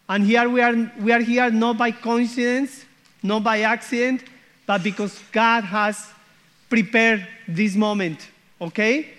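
A man's voice: extremely high pitch, fairly bright tone. A man, sharing his church testimony as a guest missionary in an earnest way that often checks in with "okay?" and "hmm?". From Colombia.

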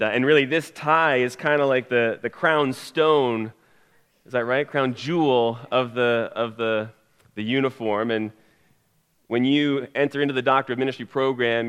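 A man's voice: medium pitch, a bit bright, clear, resonant tone. A man introducing a guest preacher at church with rambling jokes and many fillers. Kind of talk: humorous introduction.

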